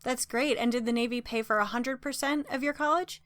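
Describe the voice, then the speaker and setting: A woman hosting a podcast, reading a prepared intro and asking measured interview questions. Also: fairly high pitch, fairly even intonation, a bit bright, no echo, clean studio sound. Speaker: podcast host.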